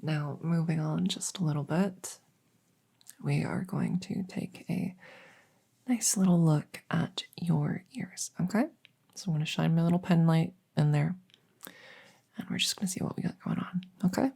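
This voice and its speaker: A woman playing a friendly, goofy witch vet in a soft ASMR roleplay, gently chatty and reassuring with halting, teasing delivery. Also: low-pitched, full character voice. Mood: gentle, attentive. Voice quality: soft, breathy, often whispering, with creak.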